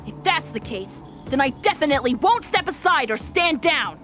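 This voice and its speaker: Woman doing a young samurai boy god, high voice, really animated, defiant and earnest, audio drama. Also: moderate pace, soft background music.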